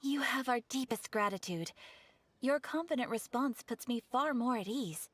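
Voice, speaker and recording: A woman's voice: a little high, animated, moderate pace. A woman playing an earnest, courteous official who gives formal briefings in a video game. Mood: earnest, concerned. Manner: polite, formal.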